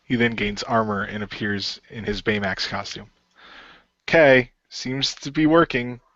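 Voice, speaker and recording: A man's voice: on the low side, measured pace, fairly bright tone, no echo. A man improvising narration and hesitant character dialogue in an amateur radio play. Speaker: player in fan radio play. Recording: dull lo-fi voice recording.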